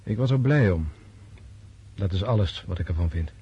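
Husky, bitter army officer. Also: male voice, sits low, animated, moderate pace, warm tone, muffled recording, full character voice. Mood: dry, curt. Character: disillusioned Russian army officer prince, bitter and resolute. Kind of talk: Dutch radio drama acting.

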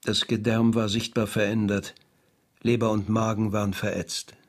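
An actor playing a sober, learned doctor with a measured, clinical manner in a historical radio crime drama. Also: male voice, lowish voice, flat delivery, close to an everyday voice. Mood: grave, measured. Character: sober, learned male doctor in old Paris.

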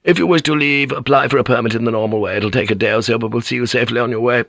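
A man's voice: mid-range voice, fairly even intonation, moderate pace, creaky, fairly bright tone, no room sound, pitched above the performer's own voice. A man voicing an arrogant, ruthless Japanese warlord general in an audiobook, with a cold, scornful manner. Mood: icy, contemptuous. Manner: cold, scornful, rhetorical questioning. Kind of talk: dramatic audiobook character voice.

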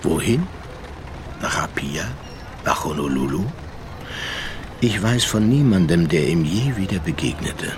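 An actor playing a seafaring narrator in a radio drama, telling the tale in a measured, literary manner. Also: male voice, low-pitched, animated, slight background noise. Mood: measured, recollecting. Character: male seafaring narrator, measured, observant storyteller.

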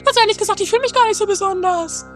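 Very high voice, bickering bossy sister acted by a performer, mocking, theatrical, absurd radio comedy, music behind. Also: very fast. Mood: agitated. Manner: dramatic, mocking, exclaiming. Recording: loud music behind lo-fi 1980s radio play.

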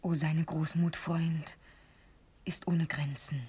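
An actress playing an ardent, pleading young noblewoman in a classical verse radio drama, fervent and declamatory, often dropping into a breathy whisper. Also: low-pitched, little pitch movement, takes it slowly, warm tone, full character voice. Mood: hushed, tender.

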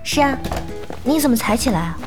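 An actress playing a calm, polite, modest young woman in an audio drama, understated and easygoing. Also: fairly high pitch, brisk, music in the background, natural voice. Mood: friendly, light. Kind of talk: Chinese audio drama acting.

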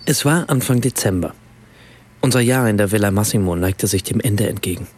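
A man playing a writer who narrates a radio play in a measured, precise way. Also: lowish voice, a little mellow, natural voice. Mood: reflective, measured. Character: German writer narrating a remembered family evening in Rome.

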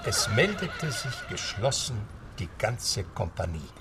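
A man giving steady, scripted storytelling narration for a radio drama. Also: lowish voice, slow, background noise.

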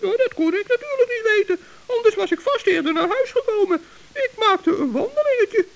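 A woman's voice: extremely high pitch, lively intonation, a little fast, lo-fi sound. A woman voicing a cheerful, brave male forest gnome in an old children's radio play, chatty and earnest. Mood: chirpy.

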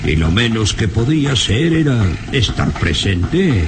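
A man's voice: on the low side, big pitch swings, measured pace. A nervous professor in an old radio drama. He frets and grumbles with swooping, agitated intonation and hesitations, on a noisy vintage recording. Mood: indignant, concerned. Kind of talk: old Latin American radio serial acting.

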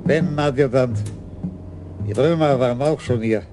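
Actor as a police commissioner, radio play, dry, commanding, mid-pitched, music behind. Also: male voice, measured pace, natural voice. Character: shrewd older police commissioner.

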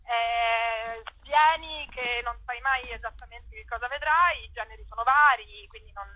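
A woman's voice: fairly high pitch, a little slow, bright, forceful tone, poor audio. A woman, chatting casually as an interview guest, hesitant and often trailing off.

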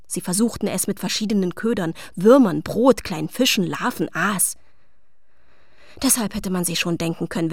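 A quietly observant, slighted young woman tells a radio play, acted by a woman. Her mid-pitched voice is wistful and intimate. She speaks in a measured way, leaving deliberate pauses. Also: no echo, clean studio sound, close to an everyday voice. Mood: rueful, sardonic. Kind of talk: radio play narration and dialogue.

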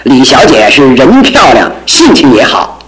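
A man's voice: mid-range voice, huge pitch swings, a little slow, a bit bright, low-quality recording. A man acting in a radio drama as an impatient but loyal friend, speaking in exclamations. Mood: admiring.

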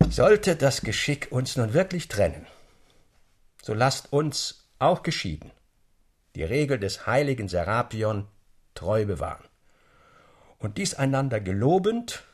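A man's voice: medium pitch, big pitch swings, slow pace, long pauses, husky, exaggerated voice. An actor playing a wistful, earnest man of letters addressing his friends solemnly and reflectively in a radio drama. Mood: earnest, rousing. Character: wistful, earnest literary friend giving a toast.

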